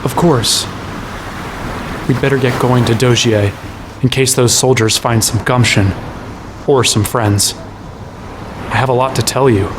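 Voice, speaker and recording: A man's voice: medium pitch, fairly even intonation, a little slow, long pauses, background noise, lightly acted. An actor playing an exasperated, sarcastic young hero who narrates his own quest in a fantasy audio drama. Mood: reassuring. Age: young adult. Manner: wry, exasperated. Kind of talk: first-person audio drama narration and dialogue.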